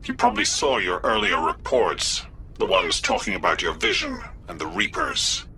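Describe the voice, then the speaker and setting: An actor playing a blunt, scornful alien councilor in a video game, dismissive and accusing. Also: male voice, medium pitch, huge pitch swings, moderate pace, vocal fry, pushed and bright, a little hiss or hum, heavily acted. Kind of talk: dramatic video game voice acting.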